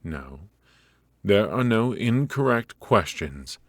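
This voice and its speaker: A man playing a stern, aloof royal mage and mentor, speaking formally and imperiously with a husky voice in a scripted ASMR fantasy roleplay. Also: on the low side, big pitch swings, takes it slowly, dry recording, clean studio sound, heavily acted. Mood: formal, instructive.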